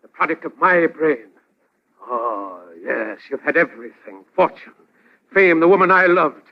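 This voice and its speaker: A man's voice: on the high side, animated, a little slow. An actor playing a vengeful mad scientist in 1940s radio drama style, menacing and theatrical, savoring his words. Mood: bitter, vengeful. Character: vengeful mad male scientist, gloating sadistic villain. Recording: muffled old-time radio recording.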